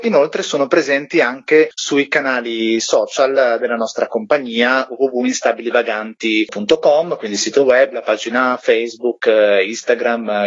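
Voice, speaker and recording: Husky man on muffled radio audio. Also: medium pitch. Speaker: guest, theatre company member. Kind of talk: explanatory radio interview.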